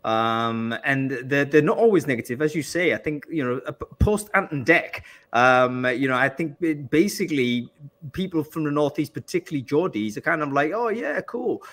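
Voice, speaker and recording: A man's voice: on the high side, lively intonation, moderate pace, a bit bright. A man from England hosting a panel discussion, talking with many restarts and fillers. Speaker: host.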